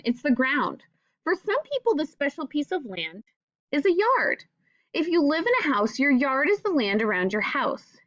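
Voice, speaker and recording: A woman reads a picture book aloud as a teacher would for young children. Her voice sits fairly high and moves up and down a lot as she speaks straight to her listeners. The recording is very dry and a little muffled. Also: no room sound. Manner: addresses young listeners directly with simple questions. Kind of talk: children's read-aloud with questions and asides.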